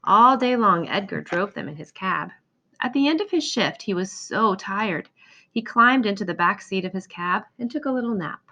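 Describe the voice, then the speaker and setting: A woman reading a children's picture book aloud in an expressive storytelling manner. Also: mid-range voice, moderate pace, lo-fi sound. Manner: expressive, acts out exclamations and dialogue. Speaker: storybook reader.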